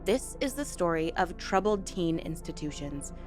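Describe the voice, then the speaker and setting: A woman's voice: lowish voice, lively intonation, moderate pace, over music. A woman hosting a true-crime commentary vlog, stuffed up and nasal from a cold, talking in an earnest, conversational way. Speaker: YouTube host.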